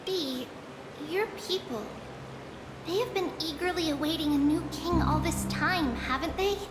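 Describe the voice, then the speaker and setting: An actress voicing a gentle, earnest young girl in a video game, childlike yet thoughtful and careful in her phrasing. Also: high-pitched, measured pace, noisy background. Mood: thoughtful, sincere. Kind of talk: dramatic video game voice acting. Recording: echoing voice under loud music. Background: loud game music.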